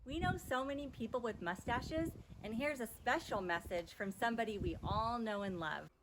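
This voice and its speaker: A woman reading a storybook aloud for young children, with deliberate, dramatic phrasing and drawn-out emphasis on key words. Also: fairly high pitch, heavy background noise. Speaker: children's storybook reader and presenter.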